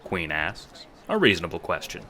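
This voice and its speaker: Deep-voiced man narrating an audio drama. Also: big pitch swings, bright, forceful tone, slight background noise. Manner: dramatic, deliberate. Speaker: narrator and producer.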